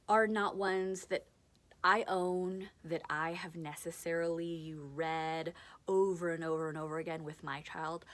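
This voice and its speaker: A woman in her thirties giving informal, chatty and expressive tips to camera as a parent, acting out lines as she goes. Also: on the low side. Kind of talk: informal instructional talk to camera.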